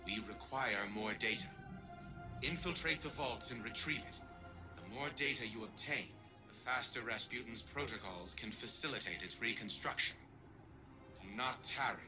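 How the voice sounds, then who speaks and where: A man playing a stern, formal military advisor in a video game, speaking in measured, terse phrases. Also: mid-range voice, natural voice, rough recording. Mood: stern, urgent. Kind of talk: scripted video game dialogue. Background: music.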